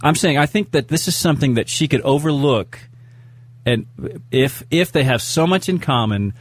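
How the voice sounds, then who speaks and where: A man's voice: fairly high pitch, animated, normal speed, no echo. A man co-hosting a casual podcast chat, telling self-mocking stories. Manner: chatty storyteller, self-mocking. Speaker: podcast co-host.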